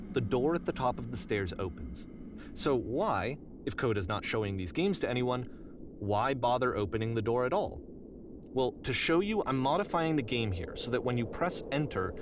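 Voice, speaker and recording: An actor playing an earnest, reflective game developer who guides players in conversational scripted narration. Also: male voice, medium pitch, noisy background, lightly acted. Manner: earnest, explanatory.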